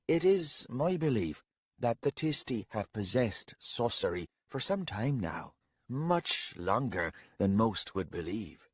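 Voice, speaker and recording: A man voicing an old, bent castellan who speaks low, steady and patient. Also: husky, no room sound, studio quality, heavily acted. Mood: grave.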